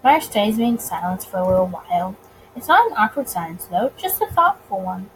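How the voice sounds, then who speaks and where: A woman reading a visual novel aloud in an expressive narrating style, occasionally tripping over words. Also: medium pitch, fairly quick, a little hiss or hum, low-quality recording.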